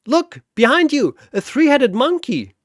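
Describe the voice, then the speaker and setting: A man's voice: very high voice, big pitch swings, a little slow, dry recording, voice pushed up, full character voice. A man voicing a cocky, battered comic pirate with a smug, quippy manner in a told story. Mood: triumphant, cheeky. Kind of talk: storybook-style character voice.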